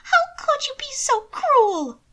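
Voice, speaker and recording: Woman doing a shrill, fretful young noblewoman. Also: big pitch swings, takes it slowly, sharp tone, heavily acted. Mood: tearful, indignant. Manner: shrill, breathy, theatrical. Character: fussy, indignant young noblewoman sister.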